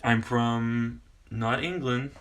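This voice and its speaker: A man in his forties from Kansas, a teacher casually explaining classroom games in a rambling, halting way full of fillers. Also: medium pitch, fairly even intonation, a bit bright, smooth. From Kansas, United States. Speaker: English teacher and video host. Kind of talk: casual tutorial talk.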